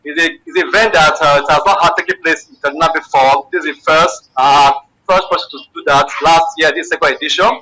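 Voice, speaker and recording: A man's voice: a little high, fairly even intonation, fast, sharp tone, low-quality recording. A man with a Nigerian accent promoting an event as a radio guest, eagerly listing things and repeating himself. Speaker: event organiser, phone guest. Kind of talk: event promotion in a live interview.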